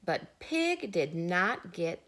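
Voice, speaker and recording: A woman, a teacher, reading a children's story aloud with deliberate dramatic pauses. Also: lowish voice, big pitch swings, a bit bright.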